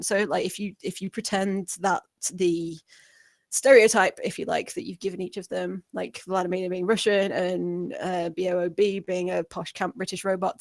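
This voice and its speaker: Casting: female script reviewer, lowish pitch, informal and rambling explainer, dry recording. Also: no echo. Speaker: script reviewer giving feedback. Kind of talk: informal spoken critique.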